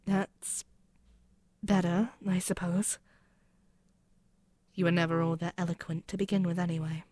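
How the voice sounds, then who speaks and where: A woman voicing a proud, mocking demon queen in an intimate ASMR roleplay, speaking in a haughty, deliberate, musing way. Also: lowish voice, flat delivery, darkish tone, dry recording. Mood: amused, condescending. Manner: haughty, deliberate, musing, with pauses and murmured asides. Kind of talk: scripted ASMR audio roleplay, spoken intimately to the listener.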